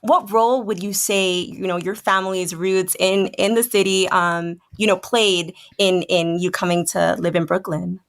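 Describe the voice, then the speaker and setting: Woman interviewer, halting questions. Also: on the low side, steady tone. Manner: hesitant, fills pauses with um and you know.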